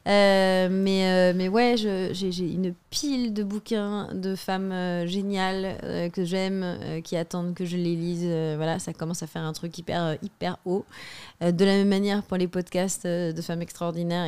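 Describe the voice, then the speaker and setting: A woman's voice: lowish voice, flat delivery, normal speed, a little mellow, clear, resonant tone. A young adult woman, a stand-up comedian, arguing her point insistently in a debate, with many fillers and restarts. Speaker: guest comedian. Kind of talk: heated debate.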